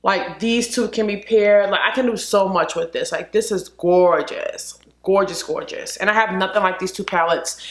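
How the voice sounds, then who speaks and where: A woman's voice: medium pitch, normal speed, hardly any pauses. A woman vlogger chatting casually to camera, a little sniffly from a cold, full of "like" and "literally". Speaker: beauty vlogger. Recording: echoey room.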